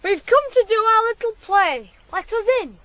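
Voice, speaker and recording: An actor playing a brash, defiant young ploughboy in a radio drama, blunt and insolent. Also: male voice, very high-pitched, expressive, moderate pace, sharp tone, exaggerated voice. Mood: angry, defiant.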